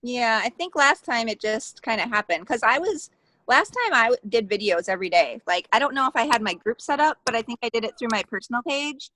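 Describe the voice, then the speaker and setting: A woman on a team call, chatting casually with quick restarts and lots of "like". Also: fairly high pitch, lively intonation, bright, forceful tone, no echo, rough recording. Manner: talks in quick restarts, many "like"s.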